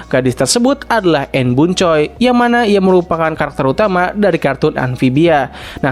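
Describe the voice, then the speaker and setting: A young man with an Indonesian accent delivers a casual recap commentary. His voice sits quite high for a man and he talks quickly. The wording is informal and conversational, explaining things point by point. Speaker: video reviewer and recap narrator.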